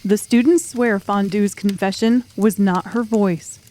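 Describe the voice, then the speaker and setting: A woman's voice: medium pitch, measured pace, a crowd in the background, noisy background. A woman narrating a dramatic audiobook story from a script.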